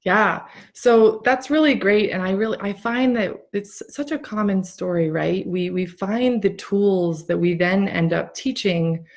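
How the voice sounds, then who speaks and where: A woman's voice: medium pitch, measured pace, hardly any pauses. A woman hosting a casual live interview, speaking earnestly in long, meandering sentences. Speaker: host of a live interview show. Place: remote online live video call.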